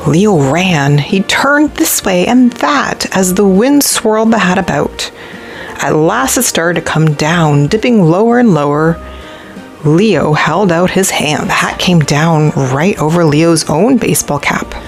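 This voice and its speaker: Slow, expressive woman storybook reader. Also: fairly low pitch, creaky, soft background music. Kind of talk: expressive children's read-aloud narration.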